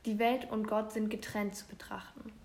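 A woman's voice: medium pitch, flat delivery, normal speed, darkish tone. Matter-of-fact woman in her twenties. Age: 20s. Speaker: theology student.

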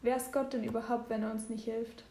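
A woman's voice: fairly high pitch, little pitch movement, brisk, dark tone, roomy sound. A nineteen-year-old woman who volunteers in a hospital, speaking earnestly and asking questions.

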